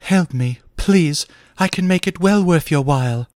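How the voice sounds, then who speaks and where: A man voicing a young noblewoman in a fantasy story, with soft, formal, archaic speech. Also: on the high side, unhurried, no room sound, studio quality, voice pushed up, close to an everyday voice. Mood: pleading.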